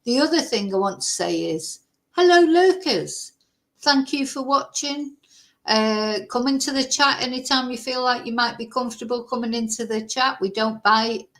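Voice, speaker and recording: A woman from Manchester with a softened Mancunian accent, hosting a casual true-crime livestream, chatty and rambling, greeting viewers by name. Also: fairly high pitch, normal speed. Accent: softened Mancunian English. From Manchester, England.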